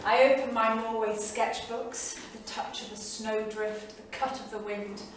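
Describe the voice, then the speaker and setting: Actress as young artist wife, 1938: observant, measured, dry. Theatre reading, distant, heavy room echo. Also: female voice, fairly high pitch, fairly even intonation, lightly acted. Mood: absorbed. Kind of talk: staged reading of journal entries, theatrical. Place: small studio theatre, live staged reading. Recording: very echoey theatre, distant mic, faint noise.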